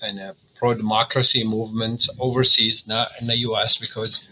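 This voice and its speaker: A middle-aged man from Syria, a cardiologist guest, talking earnestly in a halting way with many fillers and restarts. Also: fairly low pitch, little pitch movement, bright, forceful tone, a little hiss or hum, low-quality recording. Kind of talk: casual interview talk.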